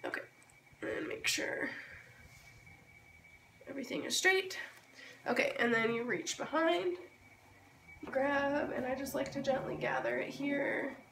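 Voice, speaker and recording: Hoarse woman, roomy distant recording. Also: high-pitched, unhurried, long pauses, a bit bright. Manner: informal step-by-step explaining. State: hoarse from being sick. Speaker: babywearing tutorial host. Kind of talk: casual hands-on tutorial. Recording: roomy, distant mic, noisy background.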